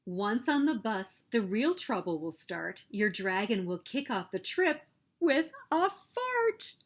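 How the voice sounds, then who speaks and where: A woman reading a children's picture book aloud as story host, with expressive, emphatic delivery. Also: mid-range voice, slow. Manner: expressive, emphatic storytelling with sing-song refrains.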